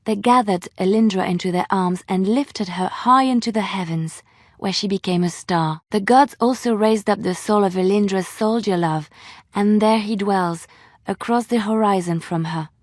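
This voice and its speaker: Actress as a romantic young bard, closely recorded. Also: female voice, medium pitch, normal speed, no echo, studio quality, close to an everyday voice. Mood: wistful storytelling. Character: romantic, devout young woman bard, easily flustered. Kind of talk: video game character dialogue and storytelling.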